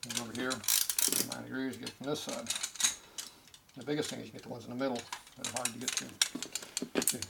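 A middle-aged man talks quickly through an engine job at a workbench, his voice gravelly. He's blunt and offhand, and the clink of tools carries through from the shop. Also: medium pitch, level tone, heavy background noise. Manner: explains while working, blunt and offhand. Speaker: machinist and mechanic. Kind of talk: hands-on shop demonstration. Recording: shop clatter of tools behind.

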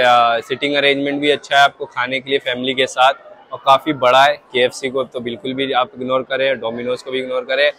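A man tours a large indoor shopping mall with a handheld phone, reading out gadget prices one after another. His voice stays flat and even, with little rise or fall, at an ordinary middle pitch. The talk is casual, repetitive, and plain. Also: rough recording. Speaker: vlogger showing phone prices. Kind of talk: casual walk-through shop tour.

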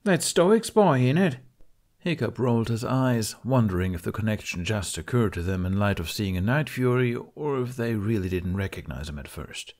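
A man reading fiction aloud as an audiobook narrator, with expressive scripted delivery. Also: fairly low pitch, moderate pace, few pauses.

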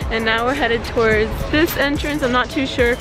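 Young female travel vlogger, high and chatty, recorded outdoors over crowd noise and loud music. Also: steady tone, normal speed. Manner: chatty, upbeat, filler-heavy with frequent "like". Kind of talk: casual travel vlog narration. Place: outdoors on busy city streets and in markets and shops. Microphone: handheld camera at arm's length.

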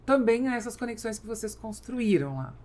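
A woman's voice: on the high side, big pitch swings, fairly quick, darkish tone. Middle-aged Brazilian woman hosting an interview. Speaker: podcast host. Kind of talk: conversational interviewing. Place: small studio with acoustic foam, indoors. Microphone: close podcast microphone on boom arm.

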